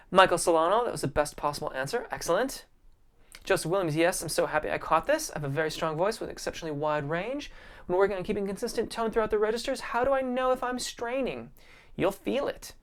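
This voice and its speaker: A man's voice: sits high, animated, a little fast, a bit bright. A man, a vocal coach, hosting a casual live-stream Q&A, reading viewer comments and answering in a friendly, appreciative way. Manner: friendly and appreciative, many ums and restarts.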